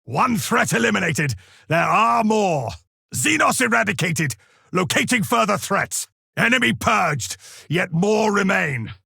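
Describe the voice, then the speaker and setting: A grave, watchful space marine warrior from a video game. His voice is gravelly and forceful, pitched a little high for a man, and he speaks with solemn, archaic formality. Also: animated, measured pace, no echo, clean studio sound, full character voice. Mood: urgent. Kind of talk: video game combat voice lines.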